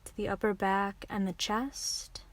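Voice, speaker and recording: A woman leading a guided relaxation meditation as a yoga teacher, giving soothing, gentle instructions in an unhurried way. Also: mid-range voice, fairly even intonation, no room sound.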